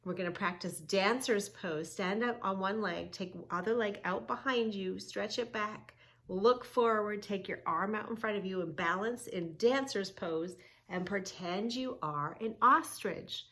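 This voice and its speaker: Lady doing kids yoga storytime, takes it slow, sing-song teacher voice, stretches out key words. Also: mid-range voice, a bit bright. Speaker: children's yoga story-time host. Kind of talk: read-along lesson for young children, narrating and instructing.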